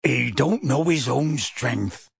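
A man voicing a huge, kindly half-giant for an audiobook, emotional and pleading, with halting, rustic speech. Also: mid-range voice, big pitch swings, gravelly, a bit bright, husky, no room sound, clean studio sound, full character voice. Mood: earnest, defensive. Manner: earnest, halting, rustic dialect grammar. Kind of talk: audiobook character voice.

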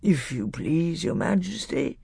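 A narrator voicing an old male storybook bear with a thick, sleepy voice, slow and earnestly polite. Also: mid-range voice, very animated, creaky, dark tone, husky, dry recording, pitched above the performer's own voice, full character voice. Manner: slow, drawn-out, pleading politeness.

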